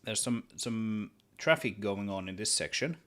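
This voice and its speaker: A man with a Swedish accent and a deep, low voice chats casually while he works at his desk. He thinks aloud, restarting and repeating words in a relaxed, rambling way. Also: measured pace, a little hiss or hum. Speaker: solo game developer streaming. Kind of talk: casual livestream chat while working.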